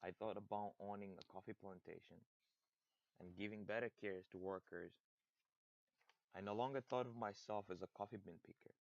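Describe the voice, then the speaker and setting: A young Kenyan man with a very low, deep voice reads a personal speech, steady and earnest, restarting a word now and then. The sound is dry and a little muffled. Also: normal speed, creaky, dry recording. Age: young adult. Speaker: student speaker.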